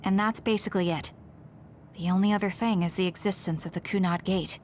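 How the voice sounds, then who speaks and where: A woman's voice: medium pitch, fairly even intonation, brisk, a little mellow, slight background noise, close to an everyday voice. Woman as a reserved, composed young woman. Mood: serious. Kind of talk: anime-style game voice acting. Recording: music behind the voice.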